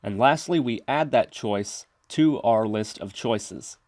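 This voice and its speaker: A man's voice: lowish voice, slow, darkish tone, no echo. A man giving scripted instructional narration as a tutorial presenter, explaining methodically step by step. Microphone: close mic, screen-recording voice-over.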